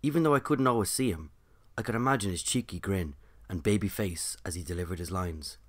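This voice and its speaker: Deep-voiced man narrating a story podcast. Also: brisk. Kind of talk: scripted narration.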